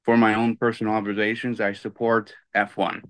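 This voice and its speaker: Man testifying on a call; low, smooth voice, dry sound. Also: little pitch movement, a little fast, fairly bright tone, no echo. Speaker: public commenter. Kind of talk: prepared public testimony.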